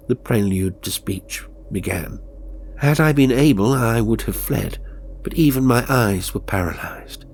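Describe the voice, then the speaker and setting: Low, creaky-voiced man narrating horror. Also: a little mellow, a little hiss or hum. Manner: suspenseful, deliberate, theatrical. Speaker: audiobook narrator. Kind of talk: dramatic horror story narration. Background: faint music.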